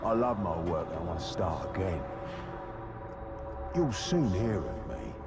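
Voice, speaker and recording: Gloating serial killer, game voice-over. Very low, swooping delivery, menacing and theatrical. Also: male voice, very animated, unhurried. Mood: gloating menace. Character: gloating male serial killer reading his taunting letter. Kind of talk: dramatic letter voice-over in a video game. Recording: loud music under the voice.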